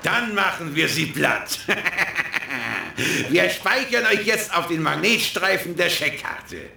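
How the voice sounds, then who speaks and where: An actor playing a scheming hacker boss, smug, theatrical and commanding, in a children's radio drama. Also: male voice, fairly high pitch, lively intonation, slow pace, gravelly, bright, forceful tone, heavily acted. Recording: echoey, with faint noise.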